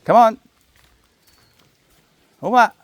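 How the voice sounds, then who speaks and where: A man's voice: drawn-out words, a little hiss or hum. A man with a New Zealand accent, chatting casually to viewers while walking his dog on outdoor park trails, recorded on a chest-mounted camera. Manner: laid-back, chatty, jokey asides. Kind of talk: casual rambling monologue.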